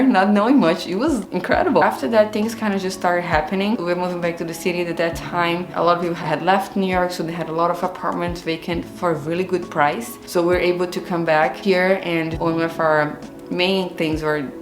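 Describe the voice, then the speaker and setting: A woman in her thirties from Brazil, with a Brazilian accent, telling her own story on her gardening and cooking vlog in an earnest, open and reflective way. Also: low voice. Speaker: gardening and cooking channel host. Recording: roomy echo with faint music.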